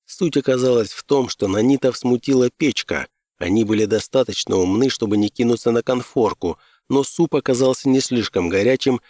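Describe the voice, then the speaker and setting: A man's voice: medium pitch, a little mellow, no room sound, clean studio sound. A man reading fiction aloud as a scripted audiobook narrator.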